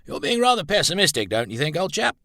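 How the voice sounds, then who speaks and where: A man voicing a jovial upper-class gentleman who talks in a hearty, chummy way. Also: on the high side, sing-song, quick pace, no echo, studio quality, voice pushed up, full character voice.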